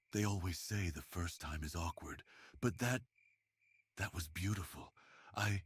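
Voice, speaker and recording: A very deep-voiced, tender lover, acted by a man for an intimate ASMR roleplay. He speaks slowly and haltingly, with a heavily husky tone and a shy, earnest manner. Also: full character voice.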